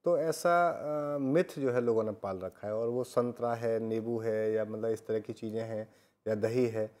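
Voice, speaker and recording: A man's voice: lowish voice, steady tone, unhurried, darkish tone. A man hosting an educational program, affirming and summarizing the guest's points with some fillers. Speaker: host. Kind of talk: educational talk on live program.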